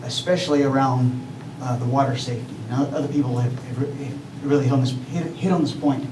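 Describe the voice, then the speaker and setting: A man's voice: medium pitch, fairly even intonation. Earnest man speaking at a town meeting. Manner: urgent, earnest, halting with fillers. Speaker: public commenter. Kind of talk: public comment at a city meeting. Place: council meeting room. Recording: echoey hall, distant mic, noisy.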